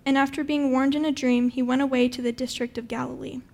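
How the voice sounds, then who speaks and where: A woman's voice: high voice, little pitch movement, quick pace, darkish tone. A woman reading a Bible passage aloud from a script as narration. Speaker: scripture reader.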